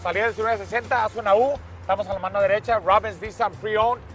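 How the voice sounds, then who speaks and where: High-voiced Mexican man, playful banter. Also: steady tone, fast, a bit bright, soft background music. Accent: Mexican Spanish. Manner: playful, teasing, repeats phrases. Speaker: co-host of car ad, boxer. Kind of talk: joking sales banter. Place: outdoor car lot.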